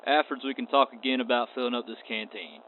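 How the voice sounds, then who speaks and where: A man's voice: medium pitch, quick pace, natural voice. A man playing a courteous, unflappable wandering space cowboy in a radio play, with a laconic drawl. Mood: courteous, bargaining. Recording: tinny band-limited audio with clear noise.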